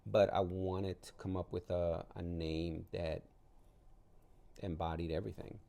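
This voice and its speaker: A man from El Salvador speaks as a guest in a casual interview. His voice is very low and mellow, with a lot of gravelly fry. He explains things informally, in anecdotes. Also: steady tone, a little slow. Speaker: interview guest, artist and gallery owner.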